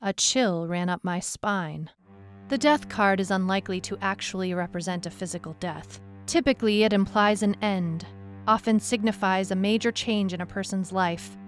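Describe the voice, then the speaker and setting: A woman playing a tough, weary, skeptical detective who narrates her case in a measured, dramatic, reflective way. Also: mid-range voice, close to an everyday voice. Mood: reflective, uneasy. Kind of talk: scripted first-person story narration. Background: music bed under the voice.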